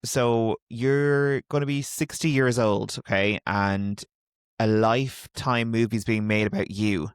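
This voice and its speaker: A man's voice: fairly low pitch, steady tone, measured pace, clear, resonant tone, no room sound, studio quality. A man hosting a casual interview, asking easygoing, playful questions. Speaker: podcast host.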